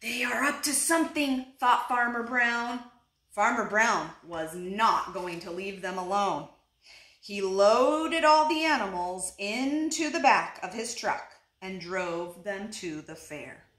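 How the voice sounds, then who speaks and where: A woman in her thirties reading a children's picture book aloud expressively, deliberately phrased, now and then putting questions to young listeners. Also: medium pitch, expressive, fairly bright tone. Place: living room indoors, seated on a couch. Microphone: camera a short distance away. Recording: roomy indoor echo.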